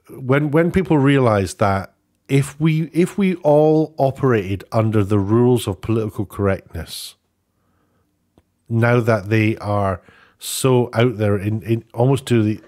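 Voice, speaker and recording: Low-voiced man giving rambling commentary. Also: darkish tone. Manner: rambling, opinionated, often rephrasing himself. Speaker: online video presenter. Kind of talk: off-the-cuff news commentary.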